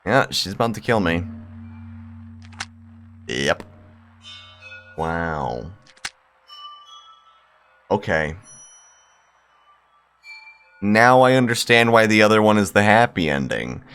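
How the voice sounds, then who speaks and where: Man, deep voice, swinging intonation, casual game commentary, blunt and slangy, music behind. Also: sing-song, takes it slowly, long pauses, a bit bright. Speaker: streamer.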